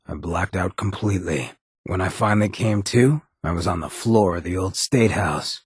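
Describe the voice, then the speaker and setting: An actor playing a roguish ghoul mayor in a video game, a swaggering former drifter with a very raspy, gravelly voice and a cocky, streetwise drawl. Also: male voice, fairly low pitch, no room sound, clean studio sound, exaggerated voice. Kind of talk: video game companion dialogue.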